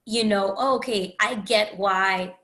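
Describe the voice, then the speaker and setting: A woman's voice: medium pitch, measured pace, sharp tone. A woman from Haiti, a podcast host and coach, reflecting candidly in a rambling solo talk with many restarts. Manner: candid, rambling, with frequent restarts and "you know".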